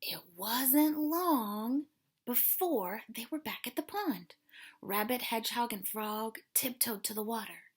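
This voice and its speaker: A woman's voice: fairly high pitch, expressive, takes it slowly, breathy edge. A woman teacher reading a children's story aloud, expressively and dramatically, with explanatory asides. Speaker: elementary school teacher.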